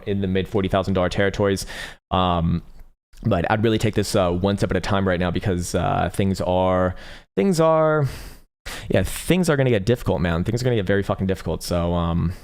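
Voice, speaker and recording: Male livestream host: deep, raspy and tired, talks fast, rambles and swears. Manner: rambling, profane, with frequent fillers and restarts; reads viewer chat aloud. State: tired, short on sleep. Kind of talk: casual livestream chart analysis.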